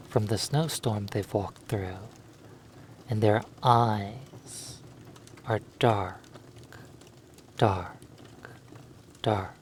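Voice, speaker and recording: A narrator telling a second-person hypnosis story in a measured, halting way, in short phrases with long pauses. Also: medium pitch, darkish tone, a little hiss or hum.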